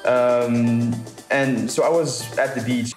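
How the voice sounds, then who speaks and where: A young man from the south of Portugal, a founder answering interview questions thoughtfully with many pauses and restarts. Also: medium pitch, steady tone. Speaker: startup founder, guest. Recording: roomy sound with music bed.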